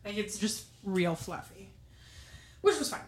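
A young woman co-hosting a podcast in casual chat, a little hoarse and wheezy, talking with plenty of ums and likes. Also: mid-range voice, animated, unhurried. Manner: chatty, lots of "um" and "like". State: losing her voice, wheezy. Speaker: podcast co-host.

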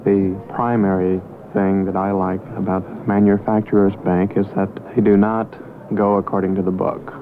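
A businessman giving a measured, formally worded testimonial for a radio advertisement. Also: lowish voice. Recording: muffled, faintly noisy radio recording.

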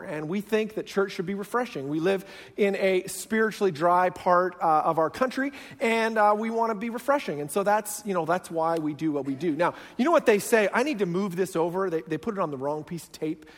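A man's voice: high-pitched, animated, fairly quick. A man with a Canadian accent, preaching as a guest pastor in a self-deprecating, joking way. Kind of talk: humorous sermon. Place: large church sanctuary. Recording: long church hall reverb.

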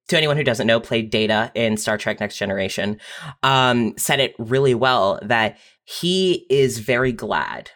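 A writer talking casually and reflectively in a podcast panel, haltingly rephrasing points for emphasis. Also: mid-range voice, fairly bright tone. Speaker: audio fiction writer on a podcast.

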